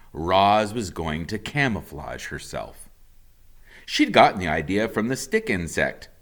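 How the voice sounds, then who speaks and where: Slow, animated deep-voiced man reading a story. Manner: deliberate, dramatic, with emphatic swells and hushed drops. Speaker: teacher reading a children's novel aloud. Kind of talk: expressive storybook read-aloud narration.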